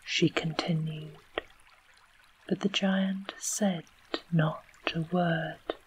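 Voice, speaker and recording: A storyteller narrates a fairy tale in a soft, unvoiced whisper, each phrase drawn out very slowly. There is a faint hiss of background noise behind the voice. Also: mid-range voice, steady tone. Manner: slow, unvoiced whisper throughout. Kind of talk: whispered bedtime story reading.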